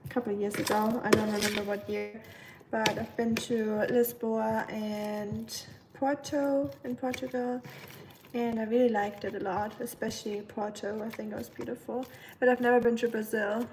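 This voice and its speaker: A woman's voice: fairly high pitch, little pitch movement, normal speed, a little mellow, slight background noise. A young woman with a German accent, chatting casually on a livestream while cooking in her home kitchen, with lots of ums. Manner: chatty, rambling, many ums, reads chat aloud. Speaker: livestream host. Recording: distant mic with kitchen clatter. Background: dishes, pots and pans clinking.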